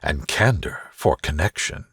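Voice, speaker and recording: A man voicing a reserved, devoted gentleman in love, in a soft murmur for a bedtime story. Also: very deep voice, lively intonation, measured pace, vocal fry, slightly rough, no echo, clean studio sound, full character voice. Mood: reflective, fond. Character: devoted, humbled, reserved gentleman lover, Darcy. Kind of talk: soothing sleep-story character reading.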